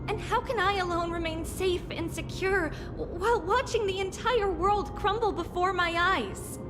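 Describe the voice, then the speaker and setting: A woman's voice: very high voice, normal speed. A woman voicing an anguished, devoted young girl in a dramatic video game, earnest and grave. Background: game music.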